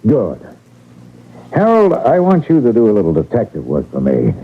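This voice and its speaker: An actor playing a shrewd, affable railroad detective with a folksy, commanding manner in an old radio drama. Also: male voice, on the low side, huge pitch swings, moderate pace, husky, heavily acted. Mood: measured, confiding. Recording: tinny, narrow radio drama recording.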